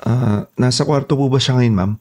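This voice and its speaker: Man playing new Filipino houseboy, medium pitch, respectful, eager to please, horror audio drama. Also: moderate pace, a little mellow, close to an everyday voice. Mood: polite. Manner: respectful, deferential. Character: polite, eager, deferential new male houseboy.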